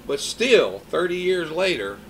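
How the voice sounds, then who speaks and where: A man's voice: on the high side, big pitch swings, a little slow, fairly bright tone, a little hiss or hum, poor audio. A man in his fifties preaching a sermon in a conversational way, mixing scripture with personal stories. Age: 50s.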